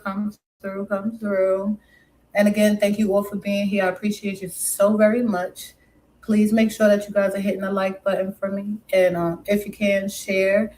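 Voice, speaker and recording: A woman's voice: mid-range voice, rough recording. A woman from Brooklyn with a mixed New York and West Indian accent, casually chatting with viewers on her live stream and calling them pet names.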